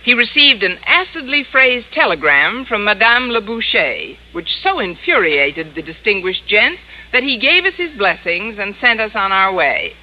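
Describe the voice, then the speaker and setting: A woman's voice: mid-range voice, very animated, moderate pace, few pauses. A woman playing a wry, wisecracking schoolteacher who narrates and chats in a 1950s radio comedy. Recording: noisy muffled old radio broadcast recording.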